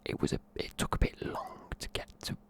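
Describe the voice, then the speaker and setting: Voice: fairly quick, fairly bright tone. A tired, sleepy speaker, whispering a rambling film review close to the mic in the style of an ASMR video. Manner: rambling, loose, lots of fillers. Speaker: YouTuber reviewing films.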